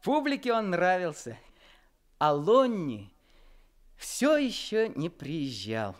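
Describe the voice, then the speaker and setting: A man's voice: high-pitched, very animated, slow pace, heavily acted. A man narrating in the first person as a young man looking back on his life in an old Soviet radio play. He tells the story in a reflective, wistful way.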